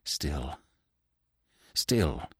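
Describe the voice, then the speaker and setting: A man's voice: mid-range voice, very animated, normal speed, dry recording, studio quality. A man voicing a furious, vengeful widow in a storybook, melodramatic and taunting, with a cruel and fearful edge. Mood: frightened, faltering.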